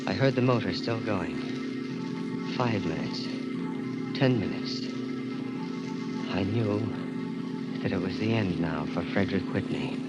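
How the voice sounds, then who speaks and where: A man's voice: low voice, a little slow, long pauses. An actor playing an anguished, guilt-ridden young man, narrating and speaking with dramatic intensity in the style of a 1940s radio mystery. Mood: haunted, brooding. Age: young adult. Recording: old radio recording with music behind.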